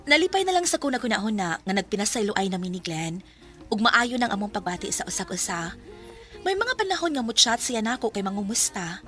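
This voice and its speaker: An actress playing a lovelorn young student in a Bisaya radio drama, emotional and pleading, with a Cebuano Filipino accent. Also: female voice, a little high, a bit bright. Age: young adult. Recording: music behind the voice.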